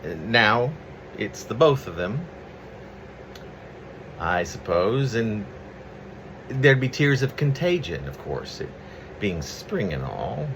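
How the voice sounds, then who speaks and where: A man speaking as a poet sharing his own work, in a rambling, halting, conversational manner. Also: on the low side, big pitch swings, noisy background. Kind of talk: casual preamble and poetry reading.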